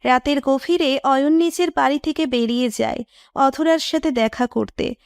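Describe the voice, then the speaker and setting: A woman's voice: high-pitched, normal speed, vocal fry, a little mellow, no room sound, clean studio sound. A woman reading scripted narration of a romantic story.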